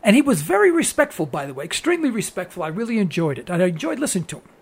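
A man's voice: high voice, very animated, rapid-fire, vocal fry, breathy edge. A man with a New York accent hosting a political talk radio show, delivering an earnest, preachy monologue that repeats phrases for emphasis.

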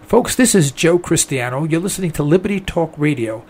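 A man hosting a call-in radio talk show, speaking in a rambling, folksy monologue while hoarse from a throat infection. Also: fairly high pitch, animated, brisk, darkish tone. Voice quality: strained, gravelly voice.

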